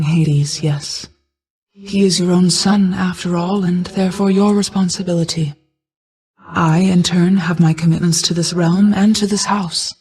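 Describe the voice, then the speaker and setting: An actress playing a stern yet caring motherly goddess of night in a video game, speaking in formal, measured, solemn lines. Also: medium pitch, a little mellow, husky. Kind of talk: scripted video game character dialogue, formal archaic speech.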